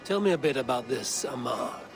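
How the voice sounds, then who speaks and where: An actor playing a confident Viking warrior in a video game, speaking in a measured, dry, quietly assured manner. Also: male voice, medium pitch, animated, creaky. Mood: calm, inquiring. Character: confident Viking warrior man, calm and probing. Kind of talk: video game dialogue acting. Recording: loud music behind the voice.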